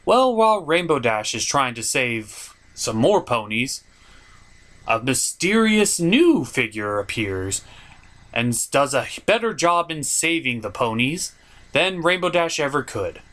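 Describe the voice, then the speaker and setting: A man's voice: mid-range voice, big pitch swings, unhurried, fairly bright tone. A man hosting a comedic cartoon review, narrating from a script in a theatrical, playful way. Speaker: host and reviewer.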